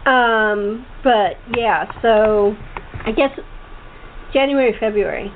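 Woman host on a tinny phone line. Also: on the high side. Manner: halting, often breaking off and repeating words. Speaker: host of a talk show. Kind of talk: casual interview chat. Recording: muffled tinny phone line with faint noise.

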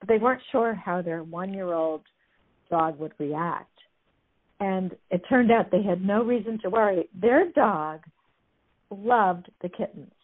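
Woman retelling stories casually, kinda husky, thin phone-ish sound. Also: medium pitch, measured pace. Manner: chatty, amused, many fillers. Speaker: guest discussing a book. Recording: tinny phone-like line.

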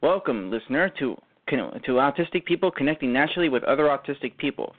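Male host, mid-pitched, formal and elaborate announcer phrasing that stumbles now and then, phone-quality audio. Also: expressive, quick pace. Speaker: radio show host. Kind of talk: live scripted show introduction. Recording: tinny phone-like internet radio line.

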